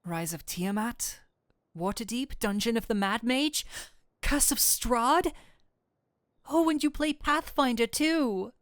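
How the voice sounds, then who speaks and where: A woman voicing a flirtatious incubus demon who is secretly an excitable nerd, in a scripted roleplay with theatrical, formal phrasing that slips into flustered gushing. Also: a little high, takes it slowly, no echo, clean studio sound. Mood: gushing, delighted. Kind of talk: scripted roleplay audio addressed to the listener.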